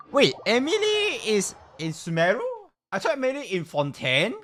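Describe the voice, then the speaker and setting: Slow, animated man livestreaming a game. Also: high-pitched, soft background music. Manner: halting, repeats himself, swears casually. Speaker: livestreamer hosting a game stream. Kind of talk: casual livestream game chat.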